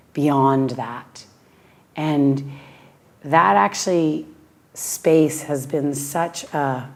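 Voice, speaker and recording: Woman, raspy, very deep, slow and thoughtful, heartfelt, yoga teacher. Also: a little mellow. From Western Massachusetts, USA. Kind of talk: reflective personal interview.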